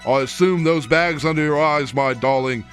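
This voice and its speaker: A man's voice: fairly high pitch, moderate pace, a bit bright, close to an everyday voice. A man playing a pompous, florid field reporter in a satirical radio drama's mock newscast. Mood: grandiose. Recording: faint music under the voice.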